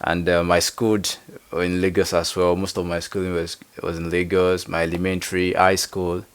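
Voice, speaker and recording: A young adult man from Nigeria, a guest artist talking casually in an interview, halting and full of fillers. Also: low-pitched, flat delivery, smooth. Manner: halting, searching, many fillers.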